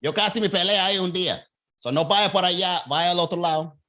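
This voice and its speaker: A man's voice: high-pitched, fast, bright, forceful tone. A man voicing a chatty Cuban man with a thick Cuban Spanish accent who gives street directions full of gossip and landmarks.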